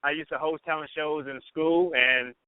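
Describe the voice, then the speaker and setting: Man, casual interview guest, fairly high pitch, repetitive informal phrasing, tinny phone-in recording. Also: fairly even intonation, moderate pace, smooth, dry recording. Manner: informal, repetitive, peppers talk with "you know" and "man". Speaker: radio personality, interview guest. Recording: band-limited radio phone-in sound.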